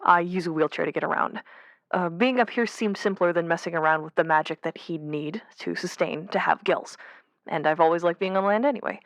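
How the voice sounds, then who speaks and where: A woman's voice: fairly low pitch, brisk, no room sound, close to an everyday voice. A woman playing a friendly mermaid in her late twenties, casual and slightly hesitant, calling a radio show in an audio drama. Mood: open, slightly uneasy.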